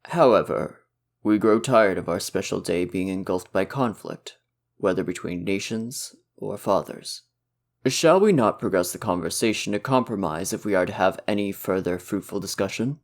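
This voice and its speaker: A man playing an earnest, diplomatic prince in an audio roleplay, with courteous, measured and articulate speech. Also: low-pitched. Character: polite, diplomatic young prince, earnest and patient.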